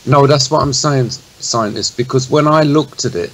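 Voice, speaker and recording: A man's voice: mid-range voice, moderate pace, fairly bright tone, poor audio. A man on a talk show panel, explaining his point in an informal discussion. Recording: faint hiss.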